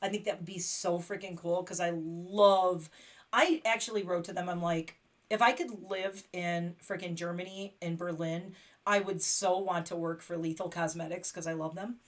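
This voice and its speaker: A woman hosting a casual makeup livestream, chatty and excitable, laughing often. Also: lowish voice, normal speed. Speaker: beauty livestream host.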